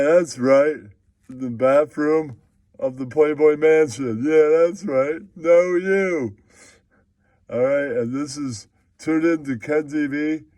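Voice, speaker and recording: A man's voice: a little high, a little mellow, no echo. A man performing a crude, improvised puppet-show monologue, rambling and repeating catchphrases.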